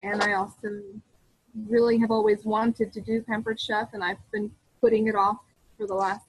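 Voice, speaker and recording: A woman's voice: mid-range voice, flat delivery, moderate pace, husky, no room sound, low-quality recording. A woman introducing herself earnestly and a little nervously, dialed in audio-only to a group call. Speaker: new consultant.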